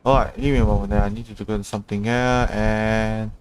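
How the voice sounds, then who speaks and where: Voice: mid-range voice, flat delivery, fast talker. A woman livestreaming a video game, giving casual commentary as she plays. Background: game music.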